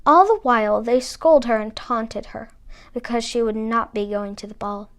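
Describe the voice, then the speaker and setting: A woman narrating a fairy tale as a storybook reader, with expressive delivery. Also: fairly high pitch, moderate pace.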